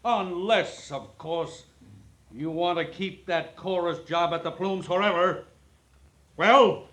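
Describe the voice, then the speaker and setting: A man's voice: sits high, slow pace, fairly bright tone. An actor playing a suspicious, short-tempered businessman with brusque, clipped questions in a 1940s radio drama. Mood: exasperated.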